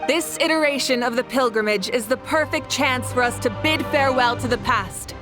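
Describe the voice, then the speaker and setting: A commanding woman host from a video game, played by an actress, with a fairly high, steady voice. She speaks with calm authority and rallies a crowd of contestants. Game music hums behind her. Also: moderate pace, close to an everyday voice. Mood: rousing. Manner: composed, rousing.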